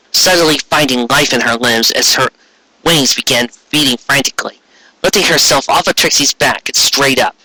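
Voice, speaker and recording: A man's voice: medium pitch, bright, forceful tone, no room sound, rough recording. A man reading a scripted fan fiction story aloud as its narrator.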